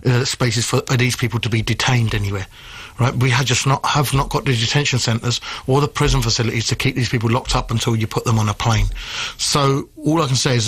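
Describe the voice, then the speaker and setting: Man, fast pace, husky voice, blunt, animated, radio phone-in guest. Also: mid-range voice. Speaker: immigration adviser guest.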